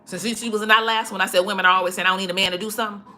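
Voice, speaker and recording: Preachy woman with a bright voice. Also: medium pitch, steady tone, racing. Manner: preachy, emphatic, repeats herself. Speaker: motivational speaker. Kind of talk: casual motivational talk to followers. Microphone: phone.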